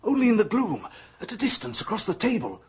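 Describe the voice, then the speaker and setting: A learned professor of ancient history in an old radio drama, speaking with a husky, unpolished tone. His pitch rises and falls a lot as he moves from halting politeness to a breathless, whispered fervor. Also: huge pitch swings, heavily acted. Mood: intense. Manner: hesitant, then rising to hushed intensity. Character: scholarly male professor of ancient history, earnest and intense. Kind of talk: 1970s radio drama acting.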